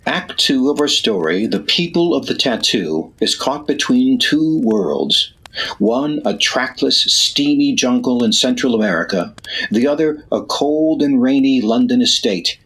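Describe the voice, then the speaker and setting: A man playing an announcer for an old-time radio drama, narrating in a husky, portentous manner. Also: medium pitch, fairly bright tone, full character voice, rough recording. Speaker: announcer of a radio theater show.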